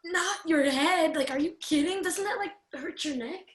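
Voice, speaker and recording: A woman's voice: high-pitched, moderate pace, natural voice. A woman playing a plucky, blunt, curious young fairy-tale girl in an irreverent radio play, with casual modern slang. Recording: roomy echo with noisy ambience.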